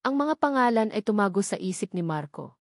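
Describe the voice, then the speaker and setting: A woman's voice: mid-range voice, big pitch swings, brisk, no room sound, studio quality. A woman with a Filipino accent reads a dramatic romance story as scripted narration.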